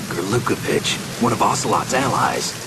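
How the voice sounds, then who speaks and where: A man's voice: fairly low pitch, lively intonation, moderate pace, over loud music, lightly acted, low-quality recording. A man playing a middle-aged, cool-headed covert soldier in a video game, terse and clipped. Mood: guarded, businesslike. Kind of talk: video game cutscene voice acting. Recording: heavy rain noise over voice.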